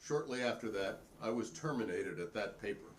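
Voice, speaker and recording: A man's voice: on the low side, lively intonation, fairly quick, natural voice. A man playing a plainspoken, wry printer testifying politely and pointedly in a dramatic reading of a 1950s hearing. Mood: earnest, indignant.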